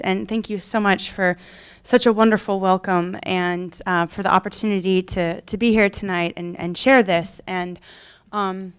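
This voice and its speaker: Smooth-voiced woman giving a lecture. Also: mid-range voice, no echo, clean studio sound. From Oklahoma, United States. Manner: warm, personal, with frequent restarts. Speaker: guest lecturer. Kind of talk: public lecture. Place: lecture room with a painting behind. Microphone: podium microphone.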